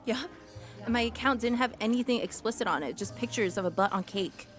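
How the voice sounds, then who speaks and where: Actress doing young woman hustler, kinda high voice, earnest, gets indignant, natural podcast drama, bit of music under. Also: brisk, lightly acted. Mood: matter-of-fact.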